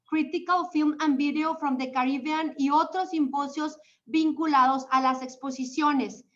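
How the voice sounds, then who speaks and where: A woman hosting for a museum, formally reading a guest's introduction in a steady voice with a few fillers. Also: sits high. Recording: dull lo-fi sound.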